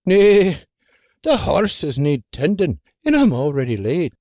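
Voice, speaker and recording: A narrator voicing a stubborn, grumbling old farmer with a raspy, coughing voice and a gruff, evasive manner. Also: male voice, a little high, very animated, slow pace, darkish tone, no echo, studio quality, voice pushed up, full character voice. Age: older.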